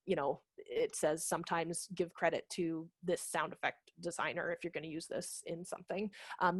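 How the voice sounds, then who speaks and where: A woman's voice: lowish voice, no room sound, studio quality. A woman in her thirties giving a chatty, explanatory workshop talk over a video call. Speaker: theater director and former teacher presenting a workshop. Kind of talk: informal instructional video-call presentation. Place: home room, indoors. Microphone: headset microphone on a video call.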